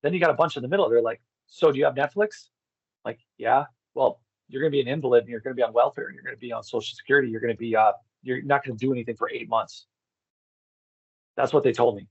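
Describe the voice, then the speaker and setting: Man, middle-aged, husky creaky voice, lo-fi audio, candid rambling storytelling, swears freely. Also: mid-range voice, quick pace, dry recording. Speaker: podcast interview guest. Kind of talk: casual personal storytelling.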